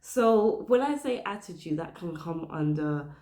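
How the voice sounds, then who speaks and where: A woman with a very low voice, made croaky by the flu, gives career advice straight to the camera. She talks earnestly and leans on her points with little checks like "okay?". The room around her adds a noticeable echo. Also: expressive, measured pace, warm tone. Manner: earnest, emphatic, stressing points with "okay?" and "you know". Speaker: career advice channel host. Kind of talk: direct-to-camera advice talk.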